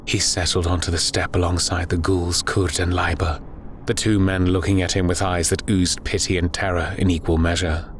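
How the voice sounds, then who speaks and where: Deep-voiced man narrating gravely, little inflection. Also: flat delivery, moderate pace, slight background noise. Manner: grave, solemn. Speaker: audiobook narrator. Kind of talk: dramatic scripted horror war narration.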